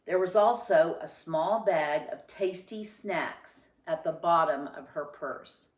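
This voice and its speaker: Slow-reading woman narrator, roomy echo. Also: lowish voice. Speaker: storybook reader. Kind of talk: expressive children's story narration.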